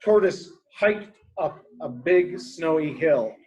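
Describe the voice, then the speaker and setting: Low-voiced woman reading slowly and expressively. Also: lively intonation, a little mellow, rough recording. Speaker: teacher reading a picture book to a child. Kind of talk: children's story read-aloud with questions.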